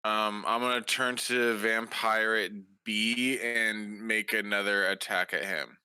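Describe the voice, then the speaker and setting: A man's voice: fairly low pitch, steady tone, slow, sharp tone, smooth, no room sound. A man playing a tabletop game, talking casually as he calls his moves.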